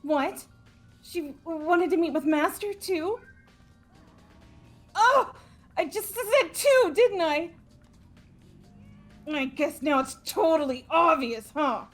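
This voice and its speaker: A woman's voice: very high voice, lively intonation, slow pace, long pauses, faint music behind, full character voice. A woman voicing a nervous, defensive teenage girl who stammers, in a video game read-through. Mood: flustered. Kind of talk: hobby video game voice acting.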